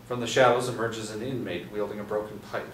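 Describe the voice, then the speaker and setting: A man reading a screenplay's scene directions aloud at a table read, steady and measured. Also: lowish voice. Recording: echoey room from far off with faint noise.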